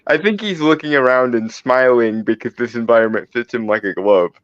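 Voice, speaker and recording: A man's voice: mid-range voice, brisk, no echo. A man chatting casually as a player in a tabletop game, musing aloud, recorded on a dull lo-fi microphone. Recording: dull lo-fi, dry mic.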